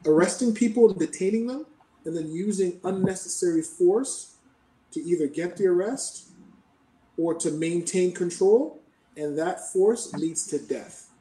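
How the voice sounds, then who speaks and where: A Canadian man answering interview questions in a measured, explanatory way, often checking in with "right?". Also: high voice, warm tone, echoey room, far from the mic, slight background noise, poor audio. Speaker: podcast guest.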